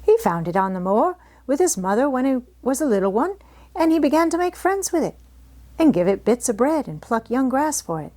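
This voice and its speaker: A woman's voice: high-pitched, sing-song, a little fast, warm tone, pitched above the performer's own voice. A woman voicing a good-tempered, outspoken young housemaid who rattles on in homely dialect for a storybook. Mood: cheerful, chatty. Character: good-tempered, chatty young Yorkshire housemaid, plain-spoken country girl.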